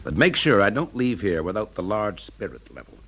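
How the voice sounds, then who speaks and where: An actor playing a shrewd, methodical British fire investigator with clipped, probing questions in a classic radio drama. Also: male voice, fairly low pitch, sing-song, fairly quick, fairly bright tone, a little hiss or hum. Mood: purposeful, reasoning.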